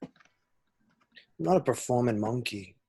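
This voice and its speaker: A man's voice: medium pitch, a little slow, gravelly, warm tone, slightly rough. A man playing a laid-back, sardonic slacker best mate in an amateur table read, offhand and blunt. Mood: grudging.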